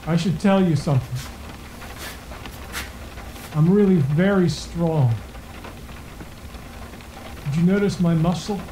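Rule-obsessed landlord, measured menace, echoing room, faint rain-like noise, horror radio play. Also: male voice, a little high, dark tone. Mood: calm menace. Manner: measured, polite, matter-of-fact menace. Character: quietly menacing, rule-obsessed rental owner and gardener. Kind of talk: audio drama horror acting.

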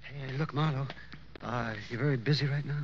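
Male actor for anxious night clerk, hesitant, medium pitch, vintage radio drama, slightly noisy dull sound. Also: steady tone, normal speed, lightly acted. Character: quiet-spoken, nervous hotel night clerk. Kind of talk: old-time radio drama acting. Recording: muffled old radio recording, faint noise.

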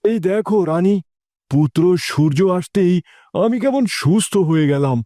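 A man's voice: high-pitched, expressive, normal speed, dark tone, no echo, studio quality. A man playing an ailing elderly king in a fairy-tale cartoon, speaking in a formal, plaintive way. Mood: fond. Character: ailing elderly king, weary and guilt-troubled.

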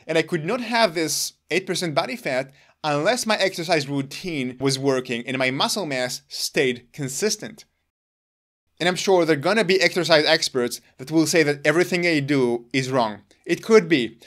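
A man's voice: on the high side, moderate pace, a bit bright. Earnest man in his thirties, non-native English. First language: Hebrew. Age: 30s. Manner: earnest, gestures with his hands while talking. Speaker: wellness channel host. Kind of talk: explaining his personal exercise routine to camera. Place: indoor room in front of gray curtains.

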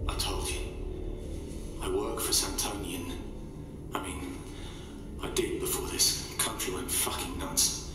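A man's voice: sits low, fairly even intonation, slow, background noise. A man playing an indignant, desperate office worker held prisoner, exasperated and pleading, in a game audio log. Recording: very echoey room with music behind.

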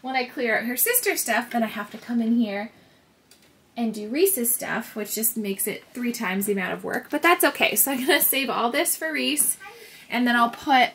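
Chatty mom sorting closets at home. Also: female voice, a little high, moderate pace, fairly bright tone, a little hiss or hum. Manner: chatty, laughs often. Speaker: vlogger and mom. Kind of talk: casual on-camera chat while organizing. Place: kids' bedroom in a home.

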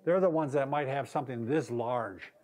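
Male, American, 50s, average pitch, casual and jokey instructional co-host. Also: moderate pace, darkish tone. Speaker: physical therapist co-host. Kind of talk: casual chat. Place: indoor therapy room.

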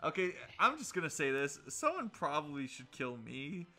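An excitable, jokey man bantering casually with friends while playing a video game, often breaking into laughter. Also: fairly high pitch, moderate pace. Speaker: player in an online gaming group.

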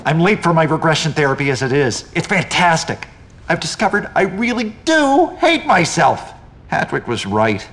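An actor playing a neurotic, broken-down teacher in a video game, stammering and full of anguish. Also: male voice, on the high side, big pitch swings, normal speed, fairly bright tone. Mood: sheepish, self-pitying. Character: neurotic, self-loathing, broken-down male teacher.

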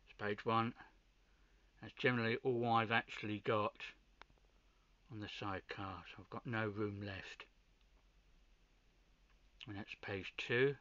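A man giving an informal hands-on video demonstration, pausing between short phrases, with muffled sound. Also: fairly low pitch, steady tone, sharp tone. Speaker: amateur radio hobbyist presenter.

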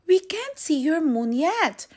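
A woman's voice: sits high, big pitch swings, slow pace, darkish tone, voice pushed up, exaggerated voice. A woman voicing great rolling hills, watchful and regretful, in a children's storybook.

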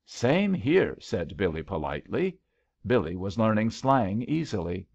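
A man's voice: deep voice, sing-song, moderate pace, vocal fry, a little mellow. A man reading an old-fashioned love story aloud as a scripted audiobook narration. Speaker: audiobook reader. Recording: slightly dull, lo-fi audiobook recording.

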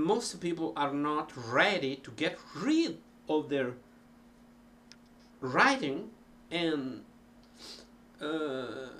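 Middle-aged man with an Italian accent, unhurried, halting delivery, home webcam sound with a faint hum behind. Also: on the high side, a bit bright. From Italy. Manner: slow, rambling, many ums and restarts. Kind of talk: casual talk to camera. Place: cluttered home music room.

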